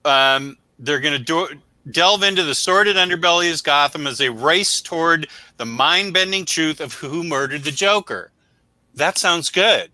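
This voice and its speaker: A man with a very bright, forceful voice pitched a little high, co-hosting a casual review show. He talks bluntly and emphatically, full of opinion, in unscripted chat. The sound is dry and close. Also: no echo, poor audio. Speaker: co-host of a comic book review show.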